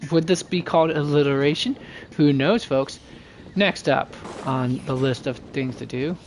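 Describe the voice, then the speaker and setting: A man's voice: a little high, unhurried, a little hiss or hum. A man hosting a game livestream, reading dialogue aloud and commenting casually, often stumbling and correcting himself. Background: game music.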